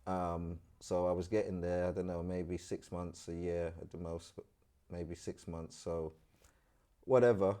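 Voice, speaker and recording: A middle-aged man from Trinidad, telling his story as an interview guest in animated, slangy casual talk. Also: sits low, unhurried. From Trinidad and Tobago.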